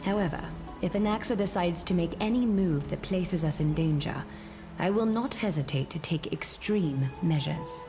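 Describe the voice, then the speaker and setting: A woman playing a stern, regal female leader in a fantasy game, formal, measured and resolute. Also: fairly low pitch, close to an everyday voice. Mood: cold resolve. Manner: formal, solemn, deliberate. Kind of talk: dramatic fantasy game voice acting. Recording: game music behind voice.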